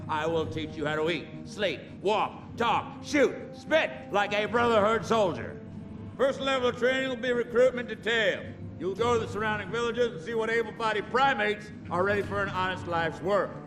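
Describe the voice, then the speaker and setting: An actor playing a belligerent military drill instructor in a video game, barking orders and insults in a commanding manner. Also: male voice, high-pitched, moderate pace, music in the background, natural voice, low-quality recording. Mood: barking, contemptuous. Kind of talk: video game cutscene acting.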